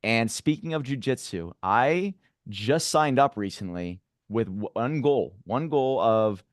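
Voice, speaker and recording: A man in his late thirties hosting a casual podcast. He talks in an animated, emphatic way and swears freely. His voice sounds dry and close, with no room echo. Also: mid-range voice, takes it slowly. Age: 30s. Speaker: podcast host. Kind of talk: casual interview talk.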